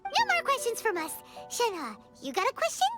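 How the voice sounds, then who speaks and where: A woman voicing a tiny excitable fairy-like female companion who speaks of herself in the third person. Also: extremely high pitch, moderate pace, over loud music, exaggerated voice. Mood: excited. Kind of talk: game cutscene voice acting.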